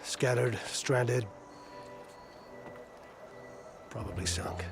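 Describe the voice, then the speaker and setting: Man playing a gravelly, weary old fleet admiral. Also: medium pitch, fairly even intonation, lightly acted. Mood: bitter, grim. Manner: formal, grave, measured, archaic phrasing. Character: weary, stern older male fleet admiral, guarded and pessimistic. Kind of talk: video game cutscene acting. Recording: music behind the voice.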